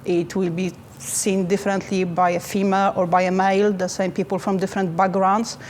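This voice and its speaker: A woman with a Polish accent answers interview questions in a careful, halting way. Her voice stays level and even, with little rise or fall. A faint hum of background noise sits under her speech. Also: mid-range voice. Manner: careful, halting, non-native phrasing. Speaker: finance manager.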